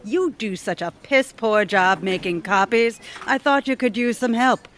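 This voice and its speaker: A woman's voice: on the high side, a little slow, fairly bright tone, slight background noise. A woman playing a domineering, scheming office boss in an audio comedy, with a sarcastic, cutting manner. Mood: snide. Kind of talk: audio theatre comedy acting.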